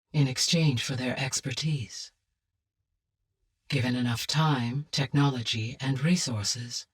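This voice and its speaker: An actress playing a calm, benevolent female artificial intelligence in a video game, speaking in formal, measured, precise phrases. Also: extremely low pitch, husky, far from the mic, full character voice. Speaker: game character, advisor. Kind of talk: scripted video game dialogue.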